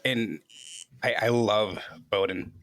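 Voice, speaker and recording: A man's voice: lowish voice, steady tone, slow pace, fairly bright tone. A man hosting a casual group interview. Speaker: host.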